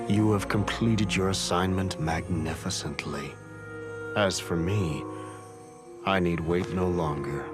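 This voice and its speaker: Game role: a weary, gentle mystic wanderer, deep and deliberate, loud music underneath. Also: male voice. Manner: grave, poetic, deliberate. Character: world-weary, gentle male onmyoji wanderer. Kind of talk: scripted game dialogue.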